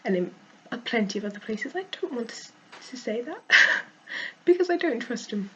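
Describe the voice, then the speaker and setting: A woman with a cold and a croaky voice, frankly venting in a casual vlog and laughing often. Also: on the high side, animated, moderate pace, sharp tone, lo-fi sound. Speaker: vlogger.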